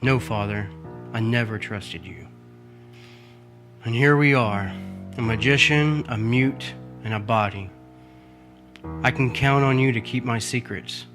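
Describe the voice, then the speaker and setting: A man's voice: medium pitch, measured pace, pauses often, natural voice. Radio drama heir, male actor: scheming, bitter, muttering darkly to himself, music underneath. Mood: cold, menacing. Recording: music behind the voice.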